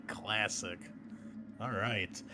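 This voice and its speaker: A man's voice: on the low side, fairly even intonation, drawn-out words, pushed and bright, faint music behind. A man hosting a tabletop game show as game master, chatting casually with joking banter and easy swearing. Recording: noisy background ambience.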